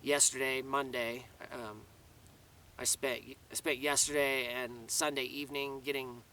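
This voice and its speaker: A man's voice: medium pitch, level tone, measured pace, bright, forceful tone, distant mic, a little hiss or hum. A man giving a casual, rambling video update outdoors in the mountains, restarting his sentences often. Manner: rambling, many restarts and fillers. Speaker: vlogger. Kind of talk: casual spoken update to camera.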